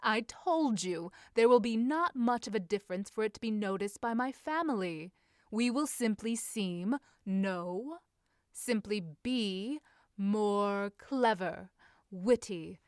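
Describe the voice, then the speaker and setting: A woman's voice: mid-range voice, lively intonation, takes it slowly, clear, resonant tone, no echo, clean studio sound. A woman reading as a confident, scheming young society lady, eager and formal in a period story. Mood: confident, musing. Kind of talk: audiobook-style character reading.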